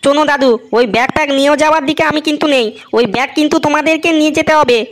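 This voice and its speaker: Mouthy cartoon youngster with a Bengali accent, played by a woman, high and quick, always answering back. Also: steady tone. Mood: cheeky. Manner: cheeky back-talk. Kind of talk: comic cartoon dialogue.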